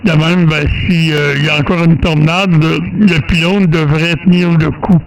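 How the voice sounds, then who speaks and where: A man with a Quebec French accent chatting casually as a radio operator, folksy and halting, often repeating words. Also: fairly high pitch, fairly even intonation, vocal fry, poor audio. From Quebec, Canada. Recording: faint radio noise.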